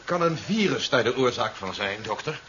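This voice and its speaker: An actor playing a wary, earnest general in a 1970s radio drama, speaking insistently. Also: male voice, medium pitch, expressive, moderate pace, pushed and bright. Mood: intent. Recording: dull, noisy 1970s radio recording.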